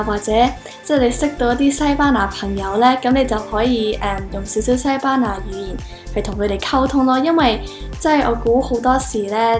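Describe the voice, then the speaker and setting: Teen girl, high voice, echoey room. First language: Cantonese. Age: teens. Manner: earnest, switching between English and Cantonese with frequent fillers. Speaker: language channel host. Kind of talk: casual teaching vlog. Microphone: camera at close range facing her. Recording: echoey room with faint music.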